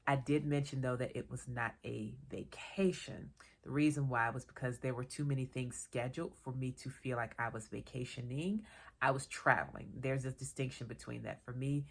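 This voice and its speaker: A woman talks to camera in an easy, meandering vlog chat, her voice low and full of vocal fry. She sounds stuffy from a cold and a little worn out, but keeps the tone loose and chatty. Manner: chatty, relaxed, digressive with small laughs. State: congested from a cold; tired. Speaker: vlogger and author. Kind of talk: casual solo vlog chat.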